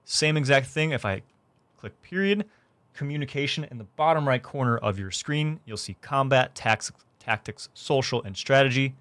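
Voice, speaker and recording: Young man, gaming streamer, medium-pitched voice, tutorial narration, step-by-step instructing, indoor room setup. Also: lively intonation, normal speed, a bit bright, no echo. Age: young adult.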